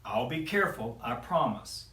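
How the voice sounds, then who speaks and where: A man voicing a gentle young princess who speaks softly and sweetly. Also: mid-range voice, very animated, moderate pace. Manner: soft, sweet. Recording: roomy, mic at a distance.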